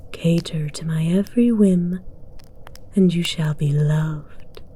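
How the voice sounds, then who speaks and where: A woman voicing an imperious, seductive dragon queen in a slow ASMR roleplay, regal and commanding in a breathy, deliberate whisper. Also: low voice, warm tone, slight background noise.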